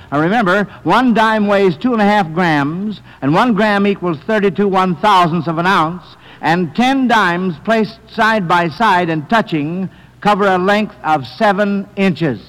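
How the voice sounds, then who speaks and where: A man's voice: high voice, a bit bright. A man hosting an old-time radio quiz show, reading out questions to contestants. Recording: old radio recording, echoey hall.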